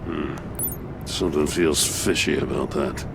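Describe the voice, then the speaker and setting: An actor playing a wary, principled veteran police commissioner in a video game, gruff, plain-spoken and skeptical. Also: male voice, extremely low pitch, steady tone, measured pace, noisy background, exaggerated voice. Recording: clear outdoor urban ambience behind.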